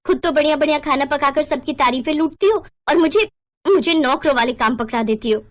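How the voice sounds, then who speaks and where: Woman acting a high, sharp-tongued young sister-in-law. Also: a little fast, lightly acted. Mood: defiant, indignant. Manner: indignant, accusing, argumentative.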